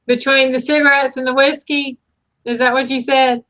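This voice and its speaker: High bright-voiced woman host. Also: flat delivery, moderate pace. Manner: encouraging, laughs along. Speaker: interview host. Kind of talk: live interview.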